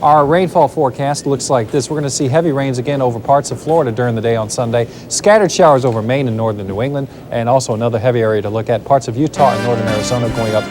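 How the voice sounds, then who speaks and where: Brisk male TV weatherman. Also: medium pitch, a little mellow, faint music behind. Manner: brisk, loose delivery with fillers. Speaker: weather presenter. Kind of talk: live TV weather forecast, ad-libbed.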